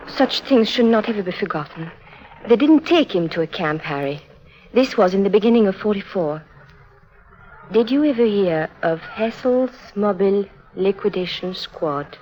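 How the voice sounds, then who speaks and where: A woman's voice: mid-range voice, expressive, moderate pace, mellow, close to an everyday voice. A woman playing a lonely, earnest teenage circus orphan who confides sorrowfully in a 1950s radio drama. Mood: bitter, grave. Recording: muffled vintage 1950s radio recording.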